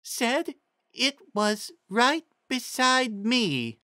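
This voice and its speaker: A man voicing an earnest young female pegasus pony, with slow, drawn-out, simple phrasing. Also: very high voice, very animated, bright, forceful tone, no echo, clean studio sound, pitched above the performer's own voice, exaggerated voice. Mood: earnest, tired.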